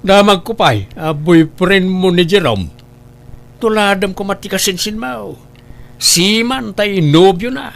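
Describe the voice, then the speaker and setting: Gravelly, nagging Filipino father played by a man. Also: sits high, lively intonation, measured pace, full character voice. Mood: scolding. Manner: scolding, questioning. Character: stern, nagging middle-aged father and uncle. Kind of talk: amateur comedy drama acting.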